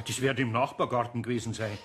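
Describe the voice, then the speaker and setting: A man playing a gruff but good-natured older master craftsman with a Bavarian accent and hesitant, colloquial grumbling in a children's audio play. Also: medium pitch, little pitch movement, fast, noisy background, close to an everyday voice. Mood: calm.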